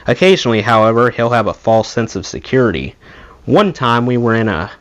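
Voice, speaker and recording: A man reading a rock memoir aloud in an expressive storytelling way. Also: mid-range voice, a little fast. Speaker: audiobook reader, channel host.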